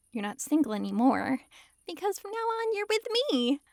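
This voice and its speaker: A woman's voice: high voice, sing-song, moderate pace, creaky, no echo, studio quality, heavily acted. A woman playing a possessive, lovestruck fox-girl mage in an intimate ASMR roleplay, sweetly controlling and giggly. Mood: giddy, possessive. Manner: sweetly controlling, giggly, swings between gushing and hushed coaxing. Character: possessive, lovestruck young-sounding fox-girl mage, dominant yet giddy.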